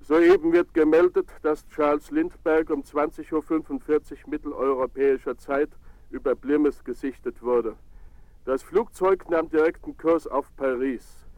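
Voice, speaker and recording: An actor playing a formal radio newsreader in a German audio drama, delivering a factual scripted bulletin. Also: male voice, a little high, fairly even intonation, moderate pace, darkish tone, no echo, close to an everyday voice, low-quality recording.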